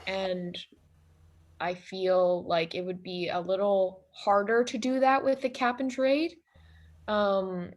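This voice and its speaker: A woman's voice: medium pitch, fairly even intonation, slow, dull audio. A woman student playing an economist in a class role-play, agreeing earnestly and speaking with many fillers over a video call.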